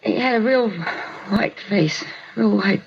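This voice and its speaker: A muffled, noisy 1950s radio recording of a woman crime victim in pain. She is dazed and pleading, giving short, halting answers at a middling pitch and repeating herself weakly. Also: vocal fry. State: in pain, weak. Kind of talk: 1950s radio drama acting.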